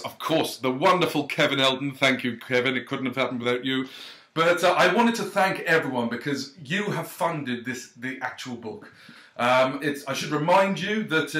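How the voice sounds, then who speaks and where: A man's voice: fairly high pitch, fairly bright tone. Chatty man in an echoey room. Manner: chatty, hesitant, many ums and uhs; self-deprecating, jokey asides. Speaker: author. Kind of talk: casual direct-to-camera thank-you and book reading. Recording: roomy echo.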